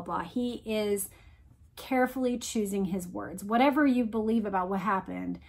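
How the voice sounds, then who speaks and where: A woman hosting a read-along discussion of a true case, explaining and commenting in a deliberate, emphatic way. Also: fairly high pitch.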